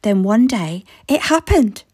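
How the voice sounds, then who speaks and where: A woman reading a children's story aloud in expressive scripted narration. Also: mid-range voice, unhurried, breathy edge, no room sound, clean studio sound.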